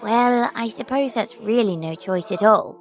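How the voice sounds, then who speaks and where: Prim, gravelly-edged woman, unhurried. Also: a little high. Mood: musing, resolute. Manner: formal, old-fashioned phrasing, musing to herself. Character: prim, inquisitive young-seeming supernatural lady, fretful yet resolute. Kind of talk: audio drama acting.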